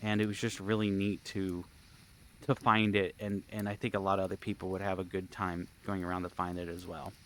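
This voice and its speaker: A man's voice: low-pitched, flat delivery, fairly quick, a bit bright, a little hiss or hum. A man telling stories in a casual, rambling vlog style, with a jokey, self-deprecating manner. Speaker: vlogger and camp event organizer.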